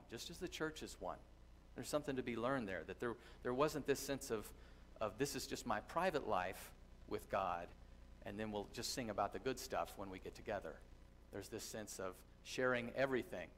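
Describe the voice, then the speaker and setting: Middle-aged pastor preaching in a church. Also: male voice, medium pitch, a bit bright, a little hiss or hum. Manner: earnest teaching, thoughtful pauses. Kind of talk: sermon with scripture reading. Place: church sanctuary with congregation. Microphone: distant camera, lectern mic.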